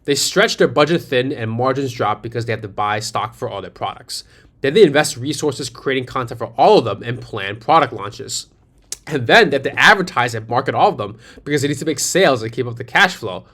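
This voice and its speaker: Persuasive man pitching ad strategy. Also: a bit bright. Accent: New York. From New York City. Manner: persuasive, rhetorical questions, repeats key phrases. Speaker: marketing agency founder. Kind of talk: sales presentation over slides.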